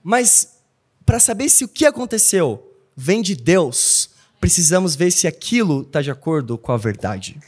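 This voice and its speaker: A man's voice: on the high side, a little slow, husky. A young man from Brazil with a Brazilian Portuguese accent, preaching a sermon in a church hall, telling stories and often checking in with "não?". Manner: animated storytelling, asks "não?" often.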